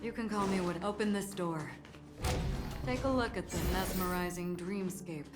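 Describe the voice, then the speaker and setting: A woman acts as an enigmatic ranger from a video game, low-voiced and calm. She speaks formally and gently, with measured solemnity. A long reverb surrounds her and music plays behind. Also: close to an everyday voice. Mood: calm, reassuring. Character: enigmatic, calm, forgetful female swordswoman ranger.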